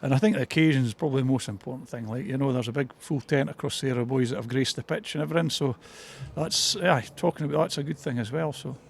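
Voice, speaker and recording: A man's voice: mid-range voice, fast talker, creaky, few pauses, slight background noise. A man with a Scottish Borders accent giving a casual, hesitant, rambling interview about his club.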